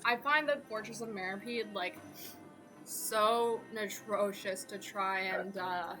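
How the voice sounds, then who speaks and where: A woman's voice: medium pitch, slow pace, bright, forceful tone, faint music behind, rough recording. A woman giving casual, informal game commentary as a streamer, often grumbling. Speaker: gameplay streamer.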